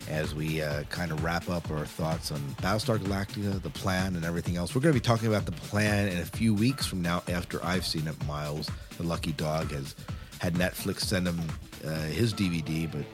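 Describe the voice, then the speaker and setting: A man's voice: low voice, fairly quick. A man hosting a podcast in a casual, chatty, wordy way, explaining things informally. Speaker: podcast host. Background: loud music bed.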